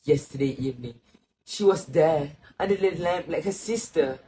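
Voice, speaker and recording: A sorrowful old grandfather in a stage drama, acted by a man. His voice sits a little high and moves slowly and solemnly through reflective, literary lines. There is faint noise behind him. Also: warm tone, natural voice. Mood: grave, reflective. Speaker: student actor. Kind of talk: literary stage play acting.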